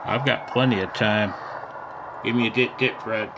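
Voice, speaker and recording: A man's voice: on the low side, fairly even intonation, moderate pace, background noise. A man talking casually to the camera in a salty, jokey, exclamatory way as an amateur radio operator, recorded on a handheld phone. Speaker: amateur radio operator filming his own contact. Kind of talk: casual excited talk to the camera.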